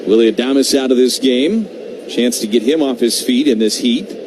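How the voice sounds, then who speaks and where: A man doing live baseball play-by-play on radio, talking in measured short phrases with conversational asides. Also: medium pitch. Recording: clearly noisy live radio broadcast.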